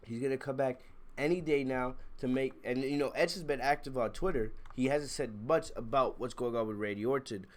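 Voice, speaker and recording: A man's voice: mid-range voice, fairly quick. Congested man giving blunt livestream commentary. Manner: opinionated, blunt, emphatic, mildly profane. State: congested, sick with a cold. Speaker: wrestling review livestream host. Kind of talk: casual live commentary, chatting to viewers.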